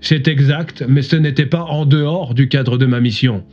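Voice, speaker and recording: A man playing a defensive, straightforward police inspector as a radio guest in an audio drama, measured and slightly husky, heard through a broadcast filter. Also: mid-range voice, steady tone, a little mellow. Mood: defensive, composed. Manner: measured, protesting. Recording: muffled radio sound, music behind.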